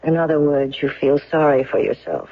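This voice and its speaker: A woman's voice: sits low, expressive, brisk. A woman playing a shrewd, self-assured defense lawyer in a radio drama, with a probing, direct, businesslike manner. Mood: brisk, firm. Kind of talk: 1970s radio drama acting. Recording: muffled old radio broadcast recording.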